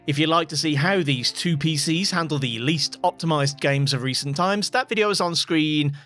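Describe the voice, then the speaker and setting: A man's voice: on the high side, moderate pace, fairly bright tone, soft background music. A man giving scripted voice-over narration as a tech video host, with dry, wry asides.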